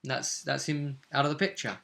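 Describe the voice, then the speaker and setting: Bright-voiced man running a fantasy role-play game. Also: medium pitch, flat delivery, moderate pace, vocal fry. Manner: storytelling, acting out quoted dialogue. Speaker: game master. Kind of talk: recapping a tabletop role-play session conversationally.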